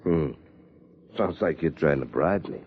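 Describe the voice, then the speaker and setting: An actor playing a hard, mercenary private detective in a 1950s radio crime drama, taunting and slangy. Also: male voice, very deep voice, expressive, a little slow, breathy edge, full character voice. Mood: needling. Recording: dull old radio recording.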